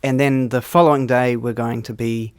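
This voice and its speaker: A man's voice: medium pitch, fairly even intonation, normal speed, clean tone, no echo, clean studio sound. Easygoing New man, cellist guest. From New Zealand. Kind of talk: relaxed interview answers. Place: radio studio.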